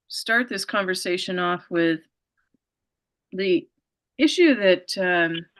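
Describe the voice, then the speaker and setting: Earnest woman host, rambling introductions. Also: on the low side. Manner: earnest, rambling setup with many fillers. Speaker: show host. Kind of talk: interview introduction and questions.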